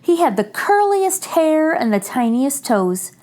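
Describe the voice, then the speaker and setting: High-pitched woman reading a children's story aloud. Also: very animated, a little mellow. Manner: addresses young listeners directly, clear deliberate phrasing. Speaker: host reading a children's picture book aloud. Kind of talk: expressive read-aloud storytelling for young children.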